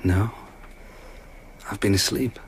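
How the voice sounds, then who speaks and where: A wandering storyteller in an intimate radio drama. His voice is fairly low, soft and earnest, with a seductive air, over slight ambient noise. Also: slow, vocal fry. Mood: gentle, concerned. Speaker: actor.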